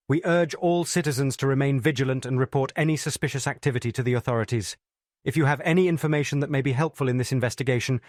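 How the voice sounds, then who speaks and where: A man speaks as a television continuity announcer in a very dry recording. His medium-pitched voice delivers scripted emergency news in a formal, measured way. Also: no room sound.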